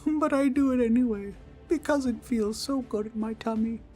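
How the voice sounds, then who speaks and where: A performer voicing a small, friendly teddy bear, naive and earnest, speaking gently and politely in an ASMR comfort roleplay. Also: mid-range voice, moderate pace, faint music behind, close to an everyday voice. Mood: cheerful, naive. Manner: gentle, innocent, polite, chatty.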